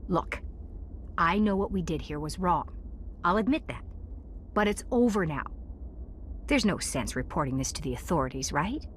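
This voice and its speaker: A woman's voice: on the low side, animated, normal speed, long pauses, a little hiss or hum, lightly acted. A woman playing a guilty, self-serving scientist in a video game, reasoning hesitantly and persuasively. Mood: pleading, bargaining.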